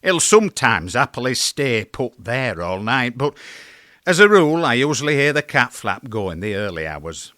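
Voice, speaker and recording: Actor as animated, wry British cat owner. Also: male voice, mid-range voice, normal speed, no echo, studio quality. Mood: wry, fond. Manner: wry, conversational storytelling with emphatic swoops. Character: chatty, aggrieved British male cat owner, fondly reminiscing. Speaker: actor performing a comedy monologue. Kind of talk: conversational comic radio monologue.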